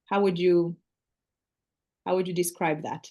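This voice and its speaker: A woman's voice: fairly low pitch, fairly even intonation, measured pace, warm tone, highs cut off. Chatty Armenian-accented woman teacher. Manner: friendly, chatty, explains at length with fillers. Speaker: English teacher. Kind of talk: casual video call conversation. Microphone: video call.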